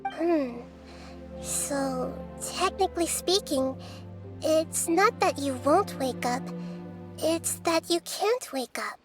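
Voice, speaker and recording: Tiny excitable fairy girl in a game, squeaky and blurty, music behind. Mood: thoughtful. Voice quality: high, squeaky. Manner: blurting quick reactions. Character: tiny floating female fairy companion, excitable. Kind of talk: video game dub.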